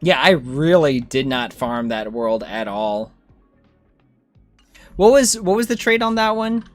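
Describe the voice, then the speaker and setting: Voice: medium pitch, unhurried, dry recording, faint music behind. A game streamer chatting casually and informally, thinking aloud and replying to viewers. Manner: informal, animated reactions to chat. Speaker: game livestreamer.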